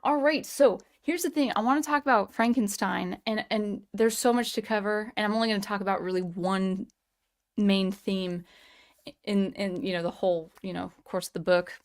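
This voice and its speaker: A woman's voice: medium pitch, fairly even intonation, moderate pace. A woman hosting a casual live stream, chatting and thanking viewers by name, with a slightly strained voice. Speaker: livestream host.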